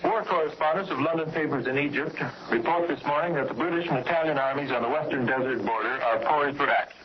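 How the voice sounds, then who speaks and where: A man working as a radio correspondent, giving a scripted 1940s news report with a measured storytelling delivery over a shortwave broadcast. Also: on the high side, muffled recording, background noise.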